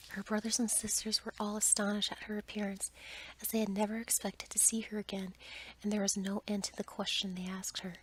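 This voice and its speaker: A woman narrating a bedtime story as ASMR in a breathy whisper. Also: mid-range voice, flat delivery, fairly quick, slight background noise, poor audio.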